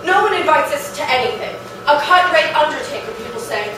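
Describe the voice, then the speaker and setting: High-voiced, theatrical actress as affected wife, echoey. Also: female voice, lively intonation, fairly quick. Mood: dramatic, aggrieved. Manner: theatrical, savouring each phrase. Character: dreamy, affected, shoe-loving wife of a shunned undertaker. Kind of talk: staged play reading. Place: live reading in an echoey performance room. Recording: roomy, distant, noisy live theatre recording.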